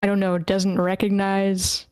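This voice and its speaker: A woman's voice: mid-range voice, monotone, measured pace, a little mellow, clean tone, no room sound. A woman hosting an online script table read, chatting casually in an informal, playful way. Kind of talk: casual chat, moderating an online meeting. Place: online voice meeting, dry close sound.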